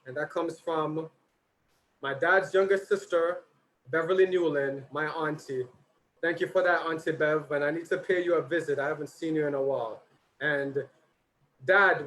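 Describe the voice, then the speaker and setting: Slightly high-voiced man, tiring voice. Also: low-quality recording. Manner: informal, chatty, slight stumbles. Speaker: son hosting a birthday tribute. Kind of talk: reading out tribute messages with personal asides.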